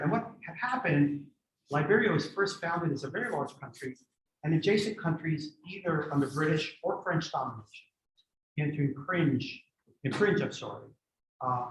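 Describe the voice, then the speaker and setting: A man's voice: on the high side, a little hiss or hum. Greek-born man lecturing in an echoey hall. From Greece. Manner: thoughtful, digressive, with frequent restarts. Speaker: guest lecturer on history. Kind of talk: lecture and audience Q&A. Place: community center lecture room. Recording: echoey room, dull lo-fi sound.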